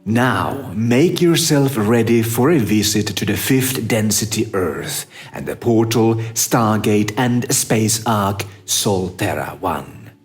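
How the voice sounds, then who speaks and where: A man narrating a scripted guided meditation in a measured, instructive manner with long pauses. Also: on the low side, expressive.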